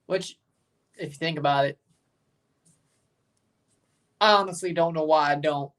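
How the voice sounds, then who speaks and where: A man's voice: fairly high pitch, unhurried, fairly bright tone, distant mic. Laid-back Southern man, a bit off-mic. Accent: Southern US, Mississippi. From Mississippi, US. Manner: laid-back, rambling, thinks aloud. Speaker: livestream host reacting to a match. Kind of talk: casual livestream chat, answering viewer comments.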